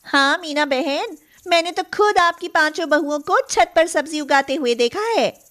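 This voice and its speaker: A woman playing an envious, spiteful neighbour in an animated moral story, scornful and catty as she gossips. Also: sits high, moderate pace. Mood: sneering.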